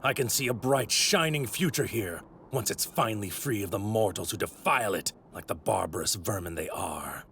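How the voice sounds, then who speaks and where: A godlike anime villain, arrogant and grandly theatrical. His forceful voice has a gravelly grain and swings widely in pitch. The diction is formal and pompous, as in a dubbed cartoon. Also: animated, normal speed, hardly any pauses, a little hiss or hum. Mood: disdainful. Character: arrogant godlike male villain in a stolen warrior body. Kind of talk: English anime dub acting.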